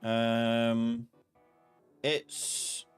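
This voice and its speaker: A man with a mid-range voice leads a casual live software class. He thinks aloud, often muttering or humming as he works. Faint music plays behind him along with the sound of typing. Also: medium pitch, completely flat delivery, extremely slow, smooth. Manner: informal, muttering and humming while working. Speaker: host, software instructor.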